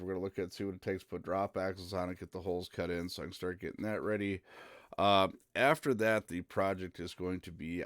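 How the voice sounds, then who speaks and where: Male streamer, low smooth voice, easygoing and rambling. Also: dry recording, studio quality. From central Minnesota. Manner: rambling and easygoing, with frequent "uh" fillers. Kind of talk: casual live-stream chat.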